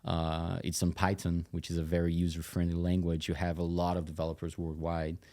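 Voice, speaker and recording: A man explaining things conversationally as an interview guest, in a halting way with frequent asides. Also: deep voice, monotone, darkish tone. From Brazil. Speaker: tech company CEO, interview guest.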